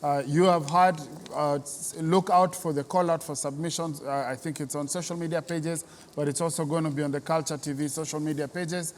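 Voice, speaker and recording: A man's voice: fairly high pitch, steady tone, fairly quick. A man with a Kenyan accent hosting an unscripted TV interview, encouraging his guest and repeating himself as he searches for words. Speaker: interview host. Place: large reverberant theatre building. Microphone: speaking on camera. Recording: echoey hall, background noise.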